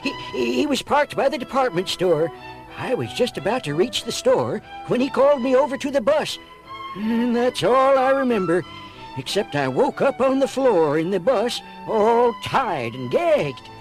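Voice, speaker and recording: A kindly older gentleman who stammers in flustered bewilderment. His delivery is halting and very animated, in the manner of an old children's cartoon record. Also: extremely high pitch, soft background music, low-quality recording. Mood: bewildered. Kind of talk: cartoon audio drama acting.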